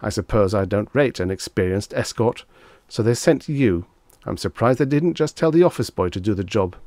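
A man's voice: fairly low pitch, lively intonation, moderate pace, a little mellow, dry recording, lightly acted. A man voicing a brash, sarcastic, easily rattled man in a dramatised story reading, with blustering, exclamatory delivery. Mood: belligerent, scathing.

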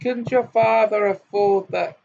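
A man voicing a haughty, scornful young woman with a shrill, mocking tone. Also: steady tone, measured pace, creaky, warm tone, slight background noise. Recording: dull lo-fi recording.

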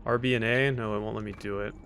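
A man's voice: lowish voice, fairly even intonation, quick pace. A man doing casual, wry gameplay commentary as a let's play host, teasing the characters and reading game text aloud. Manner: reads on-screen text aloud. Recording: faint background game noise. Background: video game sounds.

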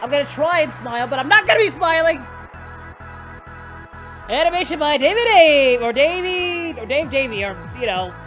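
Voice actor doing a high-pitched excitable anime hero guy, screechy melodramatic outrage, bouncy voice. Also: moderate pace. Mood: outraged. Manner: melodramatic, yelling, wailing in outrage. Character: excitable young male anime hero reacting. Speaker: reaction channel host. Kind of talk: over-the-top reaction commentary. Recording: music playing behind.

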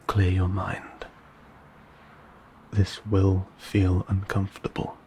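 A man playing a gentle, courteous old vampire in an intimate audio roleplay, speaking formally in hushed, soothing, measured tones. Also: low-pitched, a little hiss or hum. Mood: gentle, reassuring. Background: ambient sound effects.

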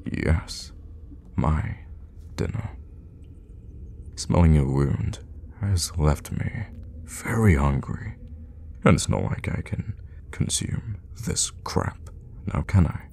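A man playing a suave, teasing, sadistic vampire lord in an ASMR roleplay, speaking in an unhurried, theatrical and menacing way with a gravelly voice. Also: warm tone, a little hiss or hum, exaggerated voice. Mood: teasing menace. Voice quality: very deep, gravelly. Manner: unhurried, theatrical, with drops into whispers. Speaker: voice actor in an audio roleplay.

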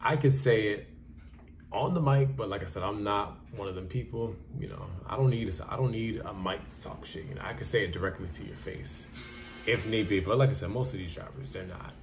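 Low-voiced young man, casual and blunt. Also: brisk, gravelly, background noise. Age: young adult. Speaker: trucker giving advice in a vlog. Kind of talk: casual advice talk to camera. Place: small plain indoor room.

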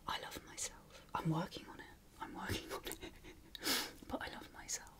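A British woman whispers in ASMR style with a breathy, unvoiced delivery. She offers affirmations informally, sounding encouraging and affectionate throughout. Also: normal speed, a bit bright. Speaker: ASMR host.

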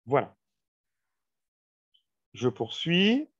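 A man's voice: mid-range voice, wildly swooping pitch, drawn-out words, slightly rough. A man giving a formal, didactic training presentation on a video call, explaining slides and addressing his listeners directly. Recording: dull, lo-fi video-call audio.

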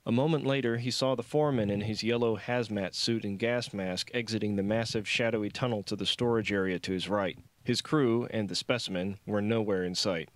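Low-voiced man narrating a thriller novel. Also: no room sound. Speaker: author reading own audiobook. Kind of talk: scripted audiobook narration.

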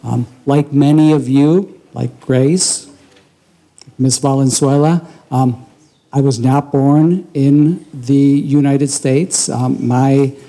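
Very mellow, slow-speaking man giving a speech. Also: mid-range voice, fairly even intonation, poor audio. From born in Cuba, left very young. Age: middle-aged. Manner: halting, measured delivery with frequent pauses. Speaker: school superintendent. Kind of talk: public speech to parents. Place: school library, indoors. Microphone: handheld microphone.

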